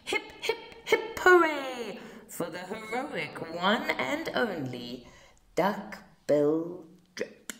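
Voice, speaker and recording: A woman's voice: mid-range voice, very animated, very slow, a bit bright, reverberant, heavily acted. A woman voicing cheerful, friendly duck-billed dinosaurs from a storybook with hooting exclamations. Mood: celebrating.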